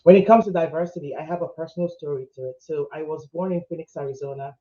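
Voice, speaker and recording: A man in his thirties who grew up in Nigeria talks in an upbeat, chatty way on an online panel. His voice sits a little high but sounds warm and mellow. The video call audio is dull and lo-fi. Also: quick pace. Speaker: panelist, HR executive.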